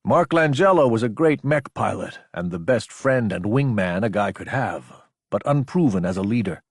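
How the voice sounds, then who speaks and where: A man's voice: lowish voice, expressive, normal speed, husky, no room sound, studio quality. A man narrating a science fiction action audiobook from a script.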